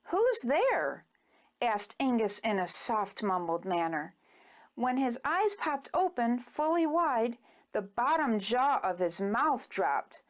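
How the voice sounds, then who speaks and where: A woman reading a rhyming children's story aloud in an expressive, deliberate, theatrical way. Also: on the high side. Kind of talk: rhyming picture-book read-aloud.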